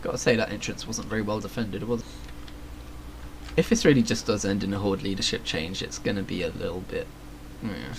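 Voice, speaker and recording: A male game streamer with a slightly low voice, commenting casually and dryly as he plays. There is faint noise and game ambience behind him. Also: a little fast, gravelly, pauses often. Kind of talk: live game stream commentary, reading quest text aloud.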